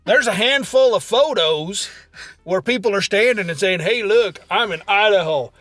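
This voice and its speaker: A man's voice: high-pitched, expressive, normal speed, fairly bright tone, dry recording, soft background music. A man playing a cocksure country conspiracy believer with a rural American accent, talking in folksy, ungrammatical comic banter. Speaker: comedy podcast co-host.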